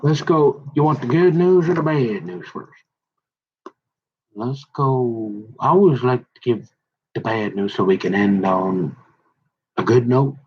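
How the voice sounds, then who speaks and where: A man's voice: mid-range voice, expressive, measured pace, long pauses, a little hiss or hum. A man in a rambling, casual monologue, talking straight to his viewers and restarting his sentences often. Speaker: vlogger. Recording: dull lo-fi audio.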